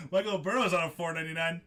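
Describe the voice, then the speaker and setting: A man's voice: fairly high pitch, fairly even intonation, a little fast, vocal fry, a bit bright, a little hiss or hum. A young man hosting a live trading-card break stream, chatting casually with viewers by name, laughing often as he reads out the cards he pulls.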